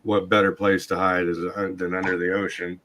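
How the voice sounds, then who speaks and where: A man hosting a podcast in casual chat, his voice hoarse and scratchy from a long night of talking. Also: deep voice, level tone, fairly quick, a bit bright. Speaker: podcast host.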